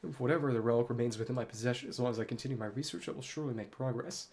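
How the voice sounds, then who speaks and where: A man's voice: mid-range voice, very fast, darkish tone, natural voice. Man reading as a lofty, defiant inventor. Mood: obsessive, defiant. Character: obsessive, defiant male inventor reading out his log. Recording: clear background game noise.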